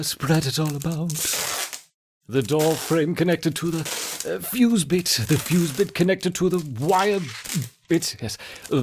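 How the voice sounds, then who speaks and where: A man playing a frantic, scheming mad scientist obsessed with his ants in a video game, raspy and theatrical, muttering to himself. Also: a little high, noisy background. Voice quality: raspy, husky. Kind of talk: video game character acting.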